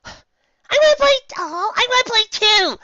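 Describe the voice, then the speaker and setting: A reader voicing a childlike, excitable female pony with a giggly manner for a cartoon-based story. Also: extremely high pitch, normal speed, dry recording, muffled recording, pitched above the performer's own voice, heavily acted. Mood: delighted. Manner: giggly, squealing.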